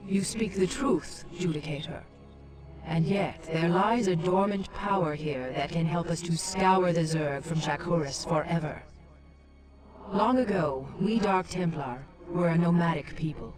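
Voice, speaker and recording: A woman acts as an ancient female alien leader from a video game, grave and stately. Her speech is formal and measured, like a wise elder's oratory, with prominent music behind it. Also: fairly low pitch, lightly acted, low-quality recording. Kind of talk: scripted video game dialogue.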